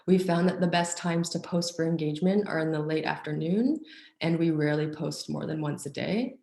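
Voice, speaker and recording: Low-voiced woman presenting with a cold. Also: normal speed, vocal fry, a little mellow, reverberant. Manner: upbeat, filler-heavy presenting. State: has a cold and cough. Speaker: nonprofit communications officer. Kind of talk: online conference presentation.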